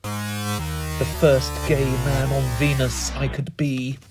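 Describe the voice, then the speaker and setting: Slow, theatrical British male poet. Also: mid-range voice, monotone, music in the background. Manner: dramatic, whimsical, deliberate. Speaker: performance poet. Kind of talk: theatrical comic spoken-word poetry recital.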